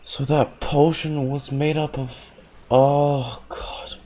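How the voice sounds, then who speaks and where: A man's voice: medium pitch, steady tone, slow, mellow, a little hiss or hum. A man streaming a casual live reaction to a game, dropping sparse muttered remarks.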